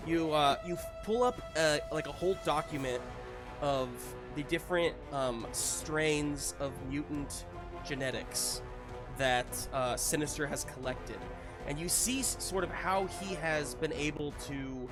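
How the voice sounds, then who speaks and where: A man narrating as game master of a casual live roleplaying game, with an enthusiastic, encouraging manner. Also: on the high side.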